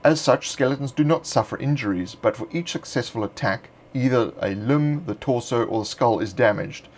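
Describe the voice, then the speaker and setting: A man narrating a script in a measured, deliberate reading voice. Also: medium pitch. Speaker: narrator of a game supplement.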